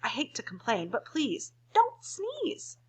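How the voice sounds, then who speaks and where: Pleading storybook buffalo, a woman acting it out, emphatic, high, swooping and slow. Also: wildly swooping pitch, slightly rough, heavily acted. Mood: alarmed pleading.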